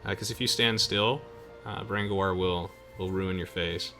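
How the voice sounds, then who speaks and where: Chatty young man, bright low-pitched voice. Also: fairly even intonation, measured pace. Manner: chatty, playful. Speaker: let's play host. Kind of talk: casual game commentary. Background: game music.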